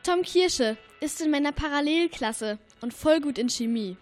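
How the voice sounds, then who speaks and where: Female player as a high-voiced girl detective. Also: lively intonation, moderate pace, faint music behind. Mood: decided. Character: sensible, worried girl detective sister. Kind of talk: German radio play acting.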